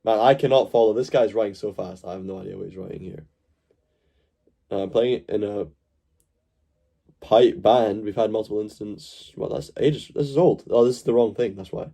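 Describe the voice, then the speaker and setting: A man from Glasgow riffing on a live stream in a mellow, dark-toned voice. He rambles and jokes, with swearing sprinkled through his chat. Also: lowish voice, normal speed, creaky. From Glasgow, Scotland. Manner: rambling, joking, swears freely. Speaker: livestream host. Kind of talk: casual live-stream commentary.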